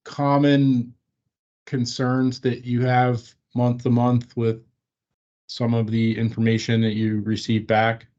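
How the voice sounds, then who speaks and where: A man's voice: medium pitch, completely flat delivery, takes it slowly, a little mellow. A man asking questions informally in a staff meeting, hesitant and slightly stammering, over muffled audio. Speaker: training participant asking questions.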